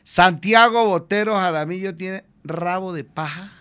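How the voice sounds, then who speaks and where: A man's voice: sits high, measured pace, fairly bright tone, smooth. Teasing, irreverent Colombian radio host, a man. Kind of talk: informal, slangy radio interview.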